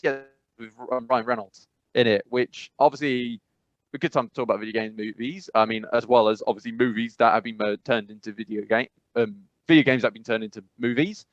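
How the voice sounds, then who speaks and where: A man's voice: medium pitch, steady tone, brisk, no echo. A man co-hosting a casual podcast, rambling with lots of fillers and restarts. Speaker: podcast co-host. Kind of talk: casual chat. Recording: dry, slightly dull sound.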